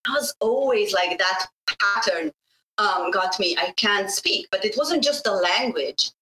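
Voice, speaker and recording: A middle-aged woman from Slovenia, whose first language is Bosnian, telling her story in an animated interview and laughing often. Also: mid-range voice, a little slow. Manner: animated, laughing, acts out quoted voices. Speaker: guest. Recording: echoey room.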